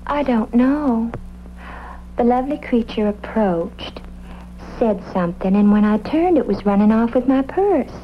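A woman's voice: on the high side, lively intonation, a little slow. Dreamy, flighty eccentric woman. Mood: airy, literal. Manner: dreamy, airy, calls everyone "love". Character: serene, flighty, eccentric flower-loving woman witness. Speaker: actress in a radio police drama. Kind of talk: old-time radio character acting. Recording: muffled, hissy old radio recording.

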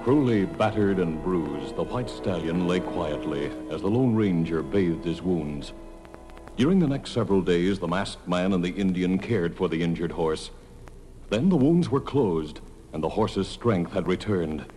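A man's voice: low voice, lively intonation, a little slow, poor audio. A man narrating an old-time radio drama in a dramatic, scripted style. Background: dramatic music.

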